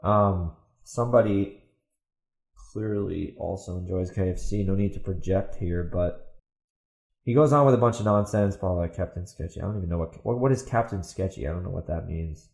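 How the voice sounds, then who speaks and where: A man's voice: deep voice, normal speed, creaky, a little mellow, roomy sound. A man hosting a podcast, giving opinionated commentary in a sarcastic, emphatic way and repeating himself for stress. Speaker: podcast host and author.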